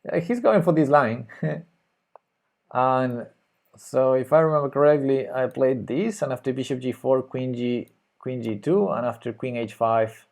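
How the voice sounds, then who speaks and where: A man's voice: mid-range voice, unhurried, vocal fry, a little mellow. A man with a Spanish accent streaming live chess, thinking aloud and hesitantly muttering his moves. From Spain. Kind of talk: live chess commentary while playing.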